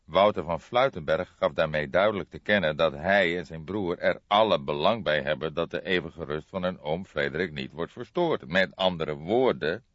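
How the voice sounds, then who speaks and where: A man reading an audiobook as a shrewd older police detective, speaking softly in a calm, measured, deliberate way. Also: rumbling low, no echo, lo-fi sound. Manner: measured, deliberate, soft-spoken.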